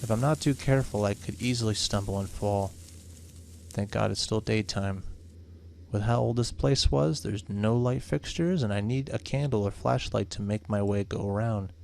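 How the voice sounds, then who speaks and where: A man's voice: fairly low pitch, moderate pace, creaky, darkish tone, slight background noise. A man voicing a nervous, superstitious young real estate agent in a horror visual novel, with dramatic, exasperated delivery. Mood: wary narrating.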